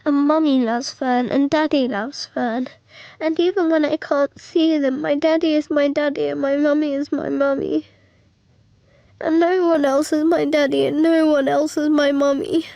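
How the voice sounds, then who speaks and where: High-voiced, anxious little girl. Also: steady tone, normal speed, creaky, mellow, no echo, studio quality. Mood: earnest, confused. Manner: childlike, repetitive, plain phrasing. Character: anxious, wishful little girl narrating her thoughts. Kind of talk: radio drama monologue.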